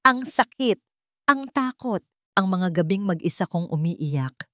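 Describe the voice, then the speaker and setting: Woman acting a wounded, expressive young heroine. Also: fairly low pitch, measured pace, no room sound, clean studio sound. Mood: pained. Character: wounded young woman, soft-spoken, still hurting from past love.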